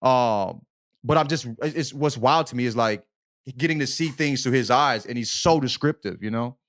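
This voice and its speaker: A man's voice: mid-range voice, normal speed, fairly bright tone. A man co-hosting a podcast, talking casually in slang, repeating himself emphatically and often checking that he is understood. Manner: emphatic, repetitive, checks in with "you know what I mean". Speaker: podcast co-host. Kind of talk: casual, slangy book discussion.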